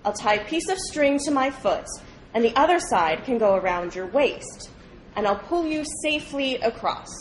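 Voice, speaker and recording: A woman's voice: fairly high pitch, very animated, moderate pace, roomy sound, a little hiss or hum, rough recording. A woman reading the part of an eager, coaxing, sly frog in a storybook fable. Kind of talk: storybook read-aloud.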